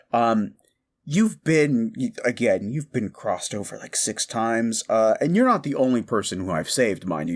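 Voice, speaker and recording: A man playing a giddy, mischievous necromancer in a comedic audio roleplay, rambling, stammering and correcting himself excitedly. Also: medium pitch.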